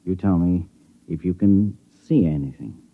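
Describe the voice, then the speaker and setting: An actor playing a calm, grave ship's doctor who talks steadily and plainly in a 1950s radio drama. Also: male voice, deep voice, normal speed. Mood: grave, soothing. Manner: steady, plain-spoken bedside talk. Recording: muffled, phone-like old radio recording.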